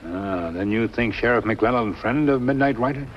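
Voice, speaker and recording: An actor playing a loyal, stoic companion to a western hero, speaking terse broken English in a grave manner. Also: male voice, mid-range voice, animated, normal speed, natural voice. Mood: curious. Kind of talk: 1950s television western acting. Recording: muffled, noisy 1950s film soundtrack.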